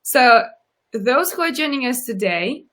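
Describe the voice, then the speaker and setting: A woman's voice: fairly high pitch, expressive, measured pace, a bit bright, pitched above the performer's own voice. A woman putting on a heavy Russian accent, playing a mock-stern Russian woman with rolled r's. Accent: Russian, exaggerated. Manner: mock-stern, rolling r's, breaking into laughter.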